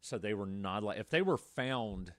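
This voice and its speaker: A man's voice: lowish voice, expressive, moderate pace, dry recording, clean studio sound. A man co-hosting a podcast, telling true-crime stories casually in a wordy way full of asides. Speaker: podcast co-host.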